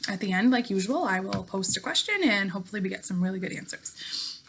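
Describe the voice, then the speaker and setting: A woman teacher reading a story aloud to her class in an expressive way, with casual remarks. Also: mid-range voice, quick pace, husky.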